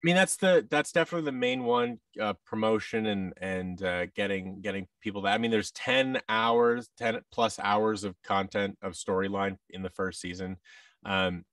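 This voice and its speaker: An American man talks casually as an interview guest, in a low voice. His phrasing is halting and often restarts. The sound is dry, though he sits a little away from the microphone. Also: a bit bright, no room sound, recorded from a distance. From United States. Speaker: guest, voice actor and audio drama producer. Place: large office room, indoors. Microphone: headset with boom-arm microphone on a video call.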